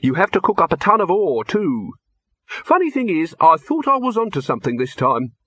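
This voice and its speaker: A man's voice: sits high, wildly swooping pitch, moderate pace, a bit bright, breathy edge, no room sound, lo-fi sound, voice pushed up, exaggerated voice. A man voicing a glum, fussy, doubtful alchemist with a hesitant, muttering delivery for an audiobook.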